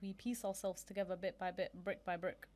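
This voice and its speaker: A woman's voice: mid-range voice, level tone, fairly quick, a little mellow. A woman co-hosting a podcast, chatting thoughtfully and agreeably. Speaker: podcast co-host. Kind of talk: casual chat.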